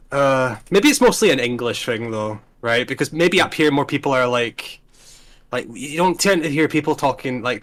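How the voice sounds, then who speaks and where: A young Scottish man chatting casually on a tier-list panel, rambling and animated, swearing freely and restarting his sentences. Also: medium pitch. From Scotland. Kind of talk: casual chat.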